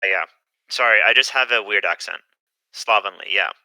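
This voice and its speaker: Sharp-toned young man on commentary. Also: fairly low pitch, moderate pace, dry recording, low-quality recording. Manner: hesitant, lots of "um" fillers. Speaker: tournament commentator. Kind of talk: casual live game commentary.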